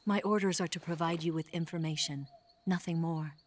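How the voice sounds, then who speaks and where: An actress playing a composed, gently teasing female agent guiding a soldier in a video game cutscene. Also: deep voice, fairly even intonation, a little fast, natural voice.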